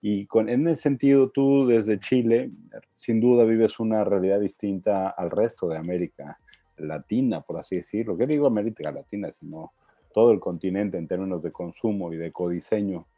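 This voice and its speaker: A man hosting a webinar, asking long conversational questions and adding soft affirmations. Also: fairly low pitch, normal speed, no echo, faint music behind. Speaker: webinar host. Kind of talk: interview questions. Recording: dull dry lo-fi audio.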